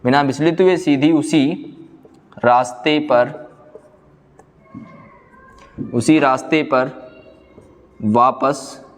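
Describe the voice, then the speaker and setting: A man lecturing as a physics teacher, asking questions, answering them himself and repeating key phrases slowly for note-taking. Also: medium pitch. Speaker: physics teacher in an exam coaching class. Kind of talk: classroom lecture, explaining step by step. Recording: echoey hall-like room reverb.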